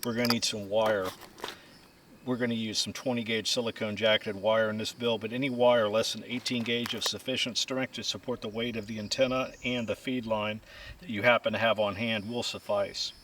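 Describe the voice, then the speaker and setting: A man with a fairly low voice walks through a build one step at a time, calm and matter-of-fact. He is recorded outdoors, with a faint hiss of background noise behind him. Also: moderate pace. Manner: calm, practical, methodical. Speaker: instructor. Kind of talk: step-by-step how-to instruction.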